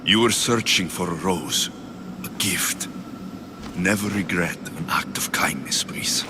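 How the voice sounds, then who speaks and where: An actor playing a blunt but kind young Spartan mercenary hero in a dramatic video game. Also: male voice, deep voice, measured pace, background noise. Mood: gentle.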